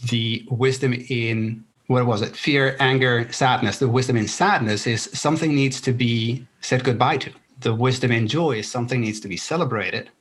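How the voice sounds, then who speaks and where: A man with Spanish as his first language, raised in the Netherlands by Chilean parents, talks as a guest coach. His voice sits at an ordinary middle pitch. He explains ideas step by step in a calm, reflective manner. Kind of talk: interview conversation.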